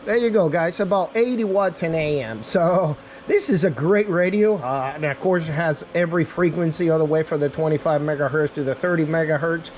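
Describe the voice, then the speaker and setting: A man's voice: a little high, fairly quick, hardly any pauses, a little mellow, a little hiss or hum. A man giving a casual, unscripted product demo in an informal way, talking straight to viewers as their reviewer. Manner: informal, addresses viewers as guys. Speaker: radio reviewer and demonstrator.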